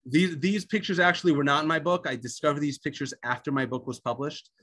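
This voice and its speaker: A man whose first language is Hebrew tells a personal story as an invited speaker. His speech is halting, full of fillers and restarts. It comes through a video-call connection. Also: on the high side, fairly even intonation, a bit bright. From United States. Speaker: author and guest speaker.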